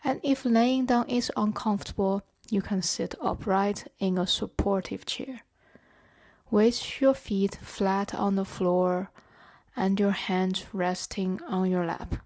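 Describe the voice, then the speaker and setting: Woman doing a guided body scan, gentle instructions, really slow talking, mid pitch. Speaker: meditation guide and therapeutic coach.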